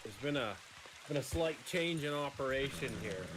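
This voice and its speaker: A man's voice: medium pitch, lively intonation, natural voice. Man playing a rambling frontier doctor, noisy audio. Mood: hesitant, bargaining. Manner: halting, rambling, repeats himself. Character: hard-drinking, scheming frontier doctor offering shady jobs. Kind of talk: improvised western roleplay in character. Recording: noisy online game voice chat.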